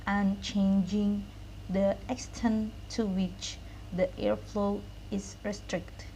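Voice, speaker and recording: A young woman reads out a student lesson at a slow pace. She moves in short, halting phrases at a middling pitch, with noticeable noise behind her. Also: mellow, poor audio. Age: young adult. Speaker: student presenter. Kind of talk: read-aloud lesson presentation.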